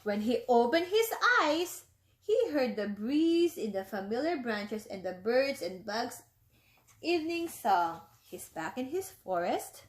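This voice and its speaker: Slow, higher-voiced woman reading to children. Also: very animated. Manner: stops to explain words and ask the children questions. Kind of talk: reading a picture book aloud to children.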